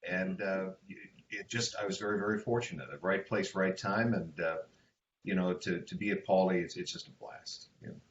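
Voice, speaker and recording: A man's voice: deep voice, moderate pace. Male announcer guest, casual and conversational, heard in a very roomy space with faint noise behind. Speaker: guest, stadium public address announcer. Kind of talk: casual roundtable chat. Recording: echoey room, dull sound, background noise.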